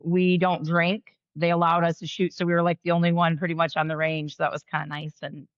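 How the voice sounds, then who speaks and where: A woman co-hosting a podcast, chatting in a lively, animated way with frequent ums. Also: lowish voice, brisk, no room sound, lo-fi sound. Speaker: podcast co-host. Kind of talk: casual chat.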